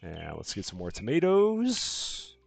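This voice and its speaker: Calm low-voiced man streaming a game. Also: takes it slowly, vocal fry, faint music behind. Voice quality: calm, soothing voice. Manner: soft-spoken, rambling, thinking aloud. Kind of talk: casual live-stream commentary.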